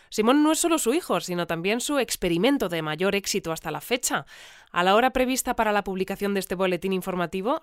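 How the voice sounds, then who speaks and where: A woman playing a composed, formal newsreader, delivering a scripted community bulletin deadpan in a fiction podcast. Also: medium pitch, clear, resonant tone, no room sound, clean studio sound, close to an everyday voice. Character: composed female newsreader of an eerie research community.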